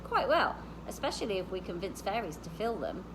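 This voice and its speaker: A woman reading the part of a calm, practical, knowledgeable woman explaining things in a storybook. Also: medium pitch, a little fast, noisy background.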